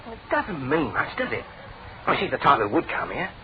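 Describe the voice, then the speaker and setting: Tinny old radio, cheeky young brit sidekick, fast and bouncy, busy crowd behind. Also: male voice, mid-range voice, wildly swooping pitch. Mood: curious. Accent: British. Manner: breezy, teasing, chatty. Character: cheeky, slangy young male detective's assistant. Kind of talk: old British radio drama acting.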